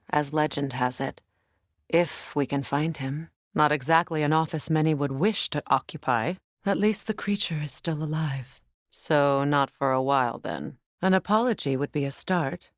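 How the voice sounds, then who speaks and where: An actress playing a proud, battle-hardened middle-aged warrior goddess in a video game, with a measured, commanding, dry manner. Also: female voice, sits low, no room sound. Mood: subdued, wistful. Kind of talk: video game character dialogue and battle callouts.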